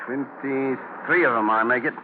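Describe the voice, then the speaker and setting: An actor playing a loyal, confident English ship's mate, in 1940s radio style. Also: male voice, medium pitch, moderate pace, natural voice. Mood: assured. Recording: tinny, hissy 1940s radio recording.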